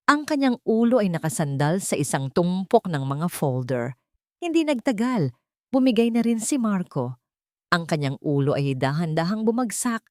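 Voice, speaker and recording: A woman's voice: fairly low pitch, darkish tone, no room sound, clean studio sound. A woman narrating a scripted audio drama in a dramatic, carefully phrased storytelling manner.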